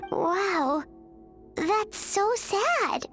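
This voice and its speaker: A tiny, tender-hearted fairy companion from a video game, squeaky and childlike. The pitch is extremely high and swings widely, though the words come slowly, over soft music. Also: female voice, expressive, heavily acted. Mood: uneasy, sympathetic. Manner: refers to itself in third person. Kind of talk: scripted video game voice acting. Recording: music under the voice.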